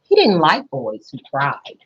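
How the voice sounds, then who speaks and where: Female storybook reader, teacherly, low register, very animated intonation, slightly lo-fi sound. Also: slight background noise. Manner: teacherly, pausing to question young listeners. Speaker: school principal reading aloud to children. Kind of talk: expressive picture-book read-aloud with questions to listeners.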